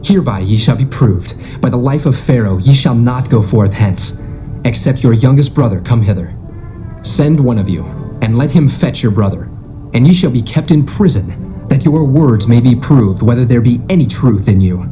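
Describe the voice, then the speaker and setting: An actor playing a stern, commanding governor of ancient Egypt in a dramatised scripture reading, in formal archaic speech. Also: male voice, medium pitch, lively intonation, moderate pace, lightly acted. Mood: accusing. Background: music.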